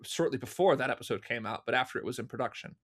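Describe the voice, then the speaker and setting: A man's voice: mid-range voice, very fast, fairly bright tone. A man speaking as an interview guest in casual chat, with a self-deprecating manner. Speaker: interview guest, fan audio drama producer.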